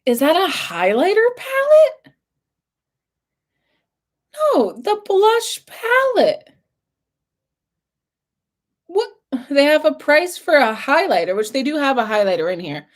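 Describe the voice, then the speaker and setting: Woman beauty vlogger, husky and hoarse, slightly high voice, chatty and casual with throat clearing. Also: sing-song, slow pace, pauses often. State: hoarse, losing her voice. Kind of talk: casual makeup review chat.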